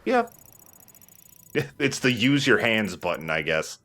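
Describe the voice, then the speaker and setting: A man's voice: lowish voice, huge pitch swings, takes it slowly, bright, forceful tone. A man hosting a let's play, giving casual commentary while he plays. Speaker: let's play host and player.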